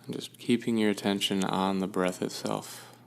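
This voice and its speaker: A man leading a guided meditation with gentle, soothing instruction and unhurried pauses. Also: fairly low pitch, fairly even intonation, vocal fry, clean tone.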